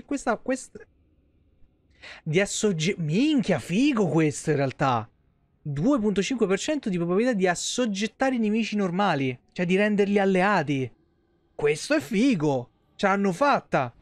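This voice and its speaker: A young man streams a video game in a fairly high voice. He comments casually and swears freely as he reacts. The sound is dry and close, like a home streaming setup. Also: dry recording. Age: young adult. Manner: foul-mouthed, animated reactions to game and chat. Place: small indoor room at home.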